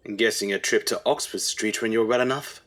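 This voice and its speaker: A man's voice: on the low side, fairly even intonation, a little fast, a bit bright, close to an everyday voice. A man playing a fond, worried Edwardian husband in a radio drama, with a British accent and a dry, fussing manner. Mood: fond teasing.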